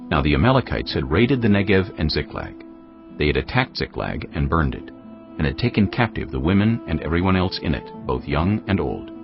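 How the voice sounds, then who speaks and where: A man narrating dramatized scripture in a solemn, measured storytelling manner. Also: very deep voice, big pitch swings, gravelly. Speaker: Bible narrator. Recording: dull audio with music behind. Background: background music.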